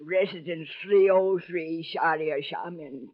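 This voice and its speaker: A wizened old woman behind a hotel desk, theatrical and greedy. She draws out her florid, formal phrases at a slow pace. It has the muffled, lo-fi sound of an old radio drama. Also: low voice. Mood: sly, haggling. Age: older. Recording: dull, lo-fi old radio recording.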